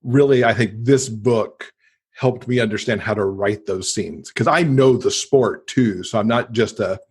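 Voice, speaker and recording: A man's voice: fairly low pitch, a little slow, breathy edge. A middle-aged American man from Michigan chatting casually as a guest in an interview. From Michigan, United States. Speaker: podcast guest, romance author.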